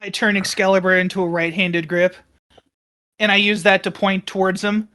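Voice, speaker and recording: A woman roleplaying as a player in a tabletop game, casual and playful, with an animated, dramatic manner. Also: mid-range voice, normal speed.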